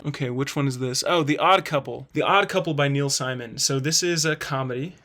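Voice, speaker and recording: A man's voice: medium pitch, fairly quick, sharp tone, slight background noise. A man hosting a ranking video, giving casual, enthusiastic review commentary in an informal way. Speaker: host of a play-ranking video.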